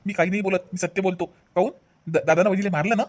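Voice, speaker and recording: A hot-tempered cartoon husband played by a woman, quick-spoken and mid-pitched. He accuses and repeats himself melodramatically in a Vidarbha Marathi accent. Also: fairly even intonation, darkish tone, close to an everyday voice. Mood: angry, accusing. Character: hot-tempered husband devoted to his sister-in-law. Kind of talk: animated family comedy acting.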